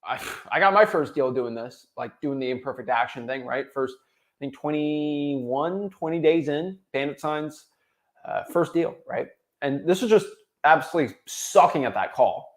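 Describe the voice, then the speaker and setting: A young man from Florida giving an energetic motivational talk, full of restarts and tagging his points with "right?". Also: fairly high pitch, moderate pace. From Florida, USA. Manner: energetic, repetitive tag "right?", frequent restarts. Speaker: real estate wholesaling coach.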